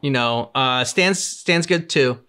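A man's voice: mid-range voice, slow pace, pushed and bright, clear, resonant tone. A man in his thirties chatting casually as a reaction video host. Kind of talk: casual chat. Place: living room couch, indoors.

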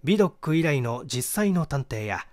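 A man's voice: a little high, very animated, moderate pace, a little mellow. A man reading a mystery novel aloud as scripted literary narration. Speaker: audiobook reader.

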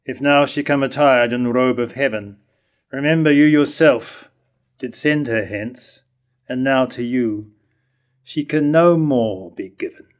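Solemn messenger, played by an older man, medium male pitch, unhurried measured verse, stage tragedy reading. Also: natural voice. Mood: grave, firm. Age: older, 60s. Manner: measured, deliberate. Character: grave messenger bearing news of the queen's death. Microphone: lectern microphone.

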